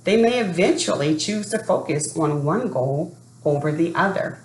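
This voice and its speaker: A woman speaking deliberately and expressively as an educator doing a children's read-aloud for families. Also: sits low, lively intonation. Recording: echoey room with faint background noise.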